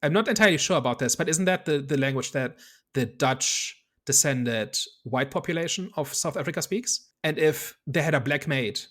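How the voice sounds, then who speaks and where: A man's voice: a little high, expressive, normal speed, fairly bright tone. A man hosting a casual movie review podcast, talking things through analytically and hedging as he reasons aloud. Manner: analytical, thinks aloud with hedges. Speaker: podcast host.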